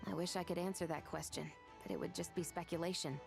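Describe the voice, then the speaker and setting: An actress voicing a stern adult patrol captain in a game, firm, measured and matter-of-fact. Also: female voice, lowish voice, fairly even intonation, gravelly. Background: game music.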